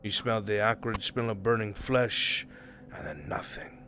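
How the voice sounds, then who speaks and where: A man playing a worldly, sly fantasy adventurer in live roleplay, with deliberate, coarse-mouthed delivery. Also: lowish voice, steady tone, bright, forceful tone. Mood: grave storytelling. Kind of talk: live improvised fantasy roleplay in virtual reality. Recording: background music behind the voice.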